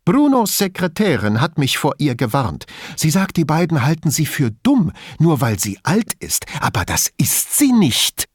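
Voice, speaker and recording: Man voicing an agitated, high-pitched theatrical woman. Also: big pitch swings, normal speed, no room sound, studio quality. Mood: indignant. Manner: theatrical, indignant, emphatic. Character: agitated, theatrical, defensive, spiteful woman under questioning. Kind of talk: audiobook character dialogue.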